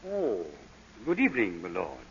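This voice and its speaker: An actor playing a discreet, unruffled thief's accomplice in a vintage radio drama, courteous and understated. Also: male voice, fairly low pitch, huge pitch swings, moderate pace, slight background noise. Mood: wary, calm. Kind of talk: 1930s-40s radio drama acting. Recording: muffled vintage radio broadcast.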